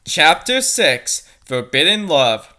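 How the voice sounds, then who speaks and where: A woman's voice: sits low, sing-song, slow pace, sharp tone. A woman reading a story aloud theatrically and adding exasperated, mocking asides. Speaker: fanfic reader and commentator.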